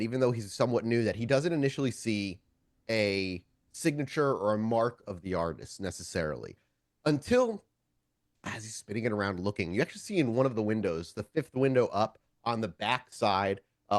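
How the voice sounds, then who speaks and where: A man's voice: medium pitch, dry recording, studio quality. A man running a tabletop game as storyteller, narrating scenes off the cuff in a wordy way and often rephrasing mid-sentence.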